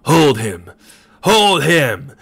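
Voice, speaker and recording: A man reading a storybook, voicing an enraged shabby man who bellows curses in a high, trembling voice. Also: sing-song, extremely slow, vocal fry, a bit bright, husky, exaggerated voice. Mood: raving fury. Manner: bellowing and cursing.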